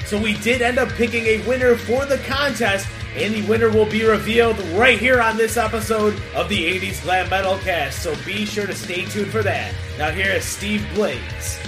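A man co-hosting a podcast, making an energetic announcement. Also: high voice, normal speed, few pauses. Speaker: podcast co-host. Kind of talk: scripted announcement. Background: music.

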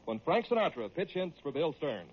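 A man's voice: a little high, sing-song, normal speed, over a noisy crowd. A man announcing and reading sponsor spots in a polished 1940s radio salesman style. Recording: muffled vintage radio recording.

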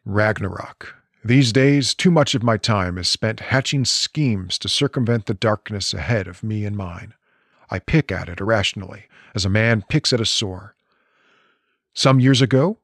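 A man reading as gravelly old god Odin. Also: lowish voice, moderate pace, no echo, studio quality. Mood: brooding. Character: Odin, ancient weary male god king. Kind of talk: reading comic dialogue aloud.

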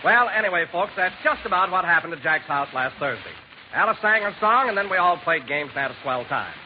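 High-voiced American 1940s radio announcer man. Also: expressive, a little fast, a crowd in the background, noisy background. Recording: muffled vintage 1940s radio recording.

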